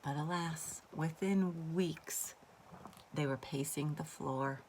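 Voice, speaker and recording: A woman's voice: low-pitched, takes it slowly, darkish tone. A woman reading a children's story aloud expressively, like a teacher to pupils. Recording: faint background noise.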